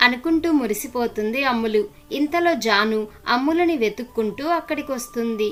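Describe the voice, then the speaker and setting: A woman's voice: on the high side, lively intonation, fairly quick, a bit bright. A woman reading scripted narration as a storyteller.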